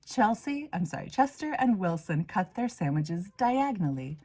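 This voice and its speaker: A woman reading a children's storybook aloud in an expressive way, moving between hushed asides and emphatic swells. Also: mid-range voice, normal speed, husky. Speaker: reader, minister.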